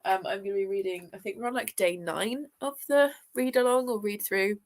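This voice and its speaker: A woman's voice: mid-range voice, a little fast. A young woman with a congested, sniffly voice from a chest infection, chatting casually and rambling with viewers on a live stream. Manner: chatty and rambling, lots of fillers, greets viewers. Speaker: booktuber hosting a live reading sprint; teacher.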